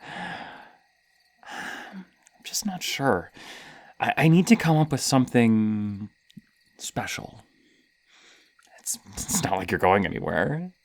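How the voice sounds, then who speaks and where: A man playing a gleeful, hesitant serial killer villain toying with prey in a horror roleplay, stammering and pausing dramatically between breathy sighs. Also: on the low side, a little mellow, full character voice. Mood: musing, menacing. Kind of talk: ASMR horror roleplay monologue.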